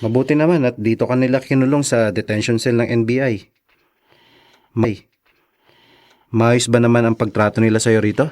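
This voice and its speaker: A man with a Filipino accent playing a frank, concerned lawyer friend in a radio drama, candid and measured. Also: medium pitch, fairly even intonation, darkish tone, natural voice.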